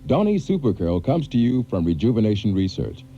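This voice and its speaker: A male announcer runs through a radio commercial, confidently ticking off selling points. His low voice is dark and swoops lively up and down. It all sounds dull and muffled, like old tape. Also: fairly quick, a little hiss or hum.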